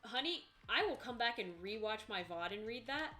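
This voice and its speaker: A woman's voice: on the high side, expressive, normal speed, pushed and bright, soft background music. A tired woman streamer chatting casually with viewers, joking bluntly and dryly.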